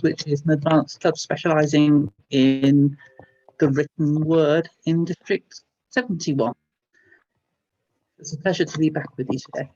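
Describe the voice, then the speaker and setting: An Englishman introduces himself in a fairly high voice with a wry, measured delivery. The line is dry and somewhat muffled. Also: darkish tone, no room sound. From England. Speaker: online club president.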